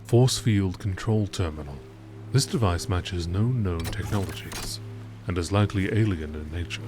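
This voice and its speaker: A man reading scripted science-fiction encyclopedia entries in a measured, formal nature documentary style. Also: deep voice, music in the background. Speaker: narrator reading game lore entries.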